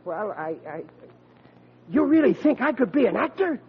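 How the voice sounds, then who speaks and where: High-pitched lovesick working-class lug. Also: male voice, big pitch swings, moderate pace, breathy edge, heavily acted. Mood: excited, hopeful. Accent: American. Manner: slangy, naive, earnest. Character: lovesick, gullible, hot-headed working-class sad sack Chester. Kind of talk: 1940s radio comedy acting. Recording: muffled, noisy old radio recording.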